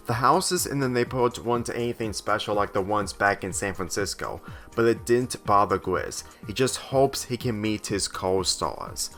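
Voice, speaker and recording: A man's voice: fairly low pitch, bright, forceful tone. A man reading a scripted story aloud, narrating and voicing the dialogue lines himself. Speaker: fan fiction reader.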